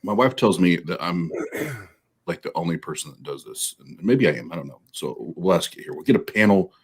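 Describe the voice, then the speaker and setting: A married man chatting as a guest on a video call, with a very low, husky voice. He gets enthusiastic and trips over his words now and then. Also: expressive, a little fast. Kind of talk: casual group chat.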